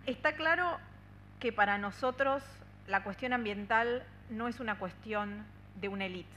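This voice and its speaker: A woman's voice: fairly high pitch, a little slow, pushed and bright, slight background noise. A woman in her thirties from Argentina with an Argentine Spanish accent, giving a conference talk at a table microphone, earnest and fluent with many "eh" fillers. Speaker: union policy adviser speaking on a panel. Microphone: desk microphone on a stand.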